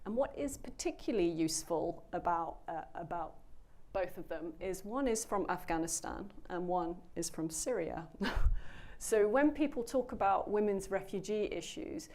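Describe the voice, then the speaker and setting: A woman's voice: lowish voice, expressive, moderate pace, darkish tone. A woman introducing a panel to a live audience, speaking earnestly and emphatically with frequent fillers. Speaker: panel moderator, program founder. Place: event room with an audience.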